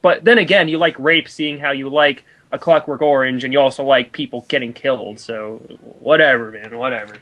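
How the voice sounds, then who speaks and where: A man's voice: medium pitch, a little fast, few pauses, highs cut off. A man co-hosting a review, giving sarcastic, argumentative commentary on pieces he reads out. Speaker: reviewer, co-host. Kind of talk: dramatic reading with mocking commentary.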